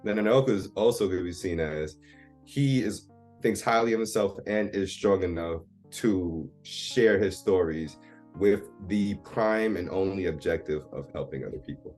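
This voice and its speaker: Deep-voiced man, candid and self-assured. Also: normal speed, darkish tone, soft background music. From Jamaica. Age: 30s. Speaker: therapy client guest. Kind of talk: casual therapy conversation.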